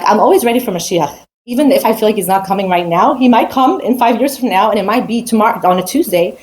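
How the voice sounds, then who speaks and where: A woman's voice: medium pitch, a little mellow, slight background noise. An adult woman teaching a weekly Torah portion in a casual, earnest way, with frequent restarts and rhetorical questions. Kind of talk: casual spoken Torah talk.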